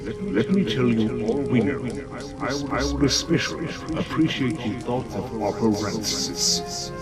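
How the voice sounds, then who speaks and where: A man playing an earnest, calm alien Jedi general in a comic dub, slightly halting, over loud music. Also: medium pitch, natural voice. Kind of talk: comic dub character acting.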